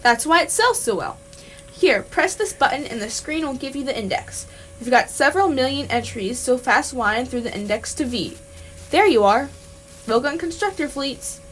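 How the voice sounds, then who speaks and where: A woman playing a calm, practical alien hitchhiker in an amateur radio play. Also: sits high, moderate pace, low-quality recording. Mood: practical, explaining. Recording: clear background noise.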